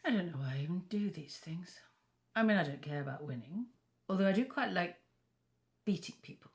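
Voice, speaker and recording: An actress playing a haughty, gossipy middle-aged British aunt with a theatrical, scornful manner in an interactive film. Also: female voice, lowish voice, lively intonation, a little fast. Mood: snide. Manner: theatrical, catty, gleefully scornful.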